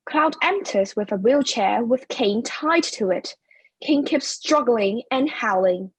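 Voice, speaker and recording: A woman's voice: fairly high pitch, animated, slow pace, slightly rough, no echo, poor audio. A woman narrating a play's stage directions in scripted reading.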